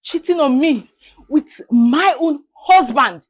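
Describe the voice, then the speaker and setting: An actress playing a furious, betrayed wife, scolding and confrontational in a melodramatic drama. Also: female voice, sits high, lively intonation, slow. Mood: furious, scornful. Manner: scolding, confrontational, repeating demands. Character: furious betrayed wife throwing out her cheating sister. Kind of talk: melodramatic screen drama acting.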